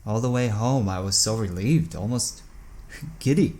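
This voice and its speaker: An actor playing a troubled, haunted man in an audio drama, halting and brooding as he confesses dark thoughts. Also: lowish voice, lightly acted. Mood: haunted, confessional.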